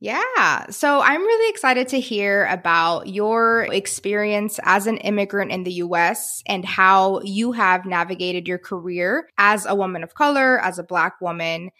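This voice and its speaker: A woman's voice: mid-range voice, lively intonation, unhurried, hardly any pauses, sharp tone, no room sound, studio quality. A woman hosting a career podcast who also works as a career coach, talking in an upbeat, enthusiastic way.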